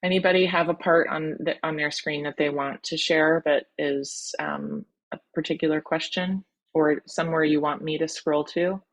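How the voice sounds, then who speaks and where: A woman's voice: low-pitched, steady tone, moderate pace, low-quality recording. A woman college admissions counselor teaching an online workshop over a video call, encouraging and full of ums and restarts.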